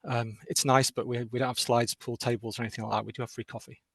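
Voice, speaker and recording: A man speaking as a guest on a webinar from an office over a video call, talking quickly with many restarts and fillers. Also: medium pitch, level tone, vocal fry, a little hiss or hum. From not from the Northeast of England. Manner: fast, with frequent restarts and fillers. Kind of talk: webinar talk.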